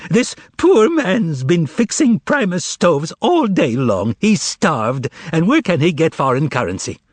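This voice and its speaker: A man's voice: fairly high pitch, sing-song, unhurried, no echo, pitched above the performer's own voice. A man voicing a theatrical male trickster and former choirmaster, with a thin, tremulous voice and a wailing, sarcastic harangue. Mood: indignant.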